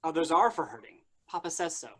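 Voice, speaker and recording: A man's voice: on the high side, lively intonation, fast talker, fairly bright tone. A man voicing a naive, rude, bloodthirsty chupacabra pet in a staged comedy reading. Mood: naive menace. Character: childlike, bloodthirsty male chupacabra pet monster, devoted.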